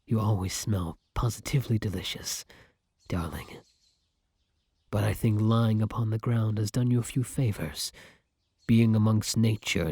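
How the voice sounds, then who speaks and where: A devoted gentleman vampire, acted by a man for an ASMR roleplay. His voice is lowish and very breathy and husky, recorded dry and close. He speaks in formal, flowery phrases with an old-fashioned tenderness. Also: dry recording. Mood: tender, soothing. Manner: formal, flowery, affectionate speech. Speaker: roleplay voice actor. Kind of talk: ASMR roleplay acting addressed to the listener.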